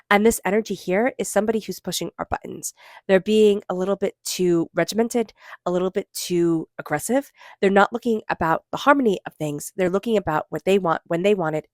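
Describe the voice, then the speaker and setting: A woman with a stuffy cold giving a spiritual tarot reading, gentle and meandering, slowing into soft chanted invocations. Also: lowish voice, dry recording, clean studio sound. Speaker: tarot reader.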